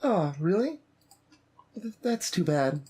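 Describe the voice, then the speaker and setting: Shy, slow-speaking schoolgirl acted by a woman. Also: on the low side, sing-song, dark tone, slightly rough, no echo, full character voice. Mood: flustered. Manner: hesitant, stammering, halting. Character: shy, bashful, polite young anime schoolgirl. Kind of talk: visual novel character voice-over.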